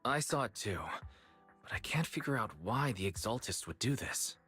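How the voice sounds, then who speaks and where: A man's voice: medium pitch, expressive, normal speed, a bit bright, breathy edge, soft background music. A man voicing a supportive, level-headed young protagonist in a video game, casual and reassuring. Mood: calm, puzzled.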